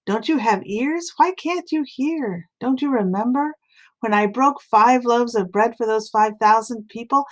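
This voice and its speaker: A woman's voice: a little high, voice pushed up. A woman voicing a stern, exasperated male teacher in a scripture reading, with emphatic, probing questions.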